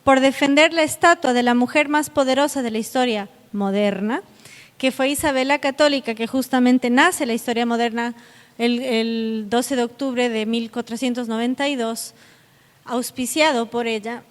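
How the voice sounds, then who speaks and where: A woman's voice: fairly high pitch, fairly even intonation, moderate pace. A South American woman giving a public lecture, speaking earnestly and directly to her audience. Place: conference hall. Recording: echoey hall with long reverb.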